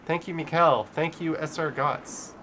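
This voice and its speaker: A man's voice: on the high side, steady tone, measured pace, fairly bright tone. Casual male livestreamer with faint background noise. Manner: chatty, informal, reads out viewer messages. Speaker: livestream host. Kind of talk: casual live commentary and chat reading.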